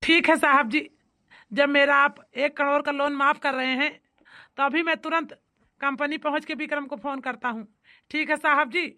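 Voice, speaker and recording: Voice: high-pitched, fairly even intonation, normal speed, bright, forceful tone. A performer voicing an anxious company boss in a cartoon comedy, speaking in an emphatic, pleading manner. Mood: obliging.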